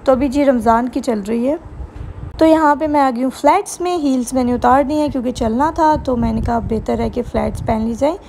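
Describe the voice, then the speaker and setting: A woman's voice: high-pitched, brisk, warm tone. A young woman with a Pakistani accent chattily narrating a vlog at a buffet and a mall, recorded on a handheld phone. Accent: Pakistani Urdu. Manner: chatty, enthusiastic, mixes English phrases into Urdu. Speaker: vlogger. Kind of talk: casual vlog narration, Urdu mixed with English. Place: restaurant buffet and shopping mall. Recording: noisy phone video with background chatter.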